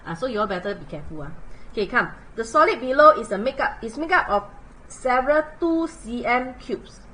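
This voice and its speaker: Animated Singaporean woman tutoring math. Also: fairly high pitch, fairly quick, poor audio. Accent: Singaporean English. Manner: chatty, animated, uses local particles like lah and leh. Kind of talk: livestream math lesson.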